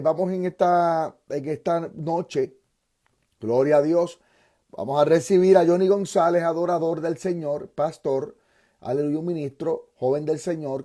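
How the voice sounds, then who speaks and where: A man's voice: fairly high pitch, dark tone. A man who preaches fervently with repeated praise phrases, then hosts an interview as a pastor.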